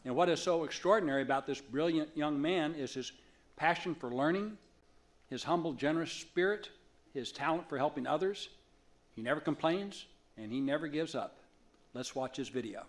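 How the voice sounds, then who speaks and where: A man speaks formally as a board chair introducing an honoree at an awards evening. His voice sits a little higher than most men's. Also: animated, moderate pace. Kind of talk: formal speech at an awards ceremony.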